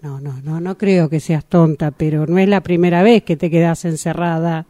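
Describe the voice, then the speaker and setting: A woman's voice: sits low, fairly even intonation, normal speed, mellow, lightly acted. A woman acting an exasperated yet soothing helper in an amateur radio drama, flustered and repeating herself, with a Rioplatense Argentine accent. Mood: reassuring.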